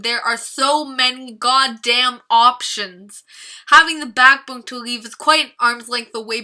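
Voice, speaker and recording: A woman's voice: high voice, bright, forceful tone. A woman reciting a slam poem in a dramatic, rhythmic, rhyming delivery. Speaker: slam poet.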